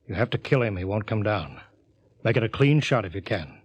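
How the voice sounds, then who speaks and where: An actor playing a grave, conscience-torn spaceship captain, earnest and commanding, in 1950s radio drama style. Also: male voice, on the low side, big pitch swings, a little fast, slightly rough. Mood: firm command. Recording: noisy vintage 1950 radio recording.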